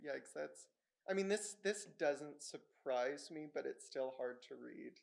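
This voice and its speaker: A man's voice: on the high side, measured pace, creaky. A man reacting casually in a group discussion, hesitant, with trailing phrases. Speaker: panelist.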